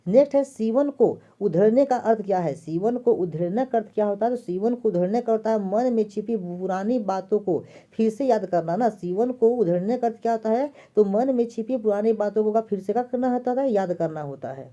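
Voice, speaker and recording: Woman exam tutor, very dark voice, muffled lo-fi audio, goes through answers and repeats each one. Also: mid-range voice, fairly quick, hardly any pauses. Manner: repeats each question and answer several times. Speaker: exam prep teacher. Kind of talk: answer key walkthrough.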